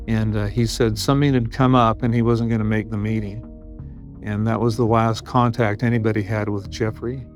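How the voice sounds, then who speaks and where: An American man speaking as a detective in an interview, recounting a case in measured, formal phrasing. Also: fairly low pitch.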